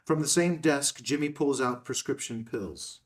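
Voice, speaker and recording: A man's voice: mid-range voice, measured pace, far from the mic. A man reading screenplay stage directions as a scripted narrator.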